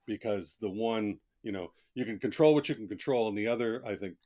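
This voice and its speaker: A man's voice: lowish voice, a little fast, dark tone. A man speaking as a guest author in a conversational interview, talkative and reflective, explaining things in plain asides.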